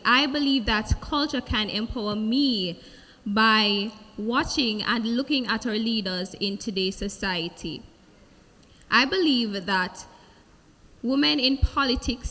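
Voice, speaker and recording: A woman's voice: a little high, sharp tone. A young Jamaican woman, a pageant contestant, giving a formal, earnest answer. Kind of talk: prepared answer on stage. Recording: long hall reverb from a stage mic.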